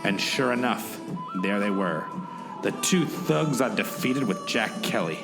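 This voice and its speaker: A man's voice: on the low side, expressive, measured pace, vocal fry. A man acting as the plucky narrator of his own mock-heroic mission in a radio play, with a theatrical, dramatic delivery. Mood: suspenseful storytelling. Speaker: narrator and lead actor. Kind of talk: first-person radio play narration with acted dialogue. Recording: music behind the voice. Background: music.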